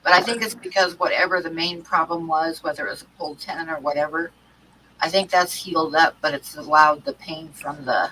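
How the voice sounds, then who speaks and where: A woman's voice: sits low, steady tone, a little fast, bright, forceful tone, slight background noise, poor audio. A woman with a stuffy cold hosting an art livestream, chatting casually with many ums and restarts. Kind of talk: casual chat to live chat.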